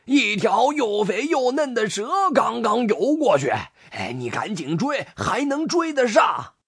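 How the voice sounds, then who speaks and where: A storyteller voicing a kindly old grandfather toad, brave and wily, with a husky voice. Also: medium pitch, big pitch swings, a little slow, fairly bright tone, no room sound, clean studio sound, voice pushed up, heavily acted. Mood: sly, coaxing. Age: older.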